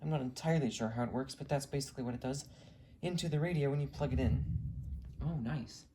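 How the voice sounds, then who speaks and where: Soft dark-toned voice, mid-pitched, casual hobby narration, matter-of-fact detail, faint ambient noise behind. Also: fast talker. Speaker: hobbyist video host. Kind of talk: casual show-and-tell narration.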